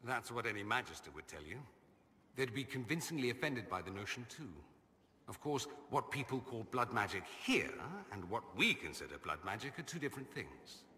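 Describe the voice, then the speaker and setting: A man's voice: mid-range voice, sing-song, moderate pace, sharp tone, long reverb tail. An actor playing a witty, vain male mage nobleman who talks in a sardonic, self-amused drawl. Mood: wry. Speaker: companion character. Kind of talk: video game dialogue.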